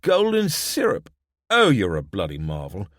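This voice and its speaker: A man's voice: lowish voice, huge pitch swings, moderate pace, no room sound, clean studio sound. A man voicing a wry, understated man with a London accent who reluctantly leads the town's police. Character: wry middle-aged man, reluctant town police chief.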